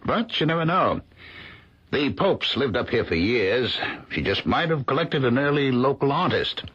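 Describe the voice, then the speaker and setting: A man's voice: mid-range voice, very animated, normal speed, a bit bright, breathy edge. A man acting in a radio drama as a courteous, dry-witted college superior who speaks in formal phrases. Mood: dry, polite. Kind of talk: radio theater acting, naturalistic dialogue. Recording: muffled, dull old radio broadcast.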